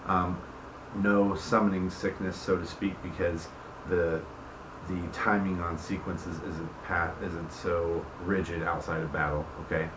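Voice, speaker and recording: A deep-voiced man gives a casual, informal explanation as a game master, checking in with his listeners as he goes. The recording is roomy and echoey, with audible noise behind him. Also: level tone, measured pace. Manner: informal, checks listeners follow with tag questions. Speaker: host explaining house rules as dungeon master. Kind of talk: casual explanatory talk to camera. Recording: echoey room with background noise.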